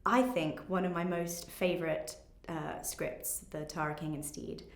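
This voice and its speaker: Lowish-voiced young English woman, echoey room. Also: fairly even intonation, unhurried. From England. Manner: enthusiastic. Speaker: actress. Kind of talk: casual interview talk.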